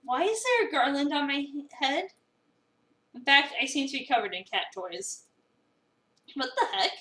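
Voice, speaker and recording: A woman acting a feisty, high-voiced young cafe worker. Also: animated, moderate pace, sharp tone. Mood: bewildered. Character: feisty, sarcastic young cafe employee, easily exasperated. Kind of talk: dramatic reading of a visual novel. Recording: roomy, echoey, distant mic.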